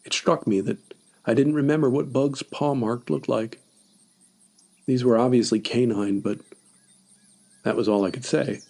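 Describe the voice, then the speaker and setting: A man's voice: medium pitch, fairly even intonation, measured pace, creaky, long pauses, darkish tone. A man reading scripted first-person horror narration as a story podcast narrator.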